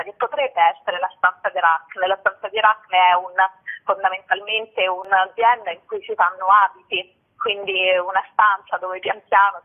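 A woman speaks over a narrow, tinny telephone connection, as an interview guest on radio. Her voice sits at an ordinary pitch. She answers in a conversational way, pausing and searching for the next word. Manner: hesitant, searching for words. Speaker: theatre artist interviewed about her show. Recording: tinny, narrow-band phone line on radio.

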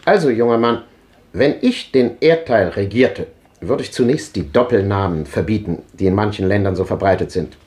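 A man's voice: lowish voice, expressive, moderate pace, a little mellow, lightly acted. An actor playing an ironic, resigned old man who lectures drily, in a 1950s radio drama. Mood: wry.

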